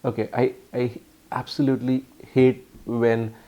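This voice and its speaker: A man with an Indian accent giving a casual, halting phone review full of ums and uhs. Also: mid-range voice, flat delivery, mellow, highs cut off. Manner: earnest, explanatory. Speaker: tech reviewer.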